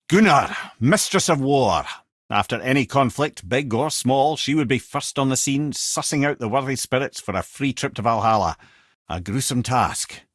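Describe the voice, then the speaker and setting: An actor playing a clever, wry old storyteller sage in a video game, with a Scottish accent and a theatrical, lilting, erudite delivery. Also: male voice, mid-range voice, fairly bright tone, no room sound, studio quality. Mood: measured storytelling.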